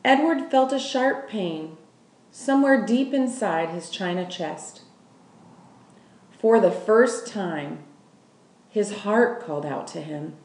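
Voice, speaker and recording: A woman narrates a children's book with lively, swooping intonation at an unhurried pace. The room around her is noticeably echoey. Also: medium pitch, far from the mic. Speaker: school staff member reading aloud. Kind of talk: scripted storybook narration, read aloud.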